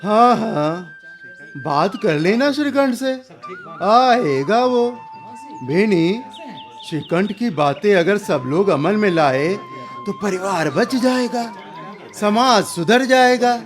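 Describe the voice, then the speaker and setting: An actor playing an older, opinionated village uncle in a radio drama, measured, wistful and moralizing. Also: male voice, high voice, lively intonation, soft background music. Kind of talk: Hindi radio drama acting.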